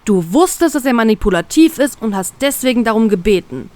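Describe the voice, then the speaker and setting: A woman's voice: a little high, lively intonation, fairly quick, lightly acted. A woman playing an upset young pirate crewmate. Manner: upset, getting louder.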